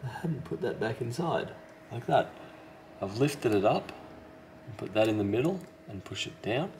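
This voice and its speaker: A man's voice: medium pitch, lively intonation, normal speed, a little mellow, slight background noise. A man giving casual hands-on repair commentary as a technician, thinking aloud tentatively and correcting himself as he works. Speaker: repair technician, video host. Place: indoors at a repair workbench.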